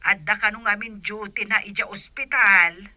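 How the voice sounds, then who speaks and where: A woman's voice: medium pitch, fairly even intonation, moderate pace, sharp tone. A woman playing a respectful, devoted elderly housemaid and grandmother in a radio drama, speaking deferentially with an Ilocano accent. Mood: apologetic.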